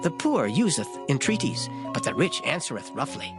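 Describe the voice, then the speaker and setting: A man reads scripture as a dramatic performance, solemn and declamatory. His intonation rises and falls expressively, and plucked string music plays clearly behind him. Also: mid-range voice, big pitch swings, moderate pace. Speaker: scripture reader. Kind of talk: dramatized Bible reading.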